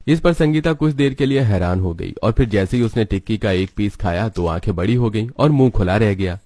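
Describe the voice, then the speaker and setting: A man with a low voice narrates a children's cartoon tale. He reads from a script in a storybook style, as the narrator of the story. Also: lively intonation, a little fast, dark tone, no echo.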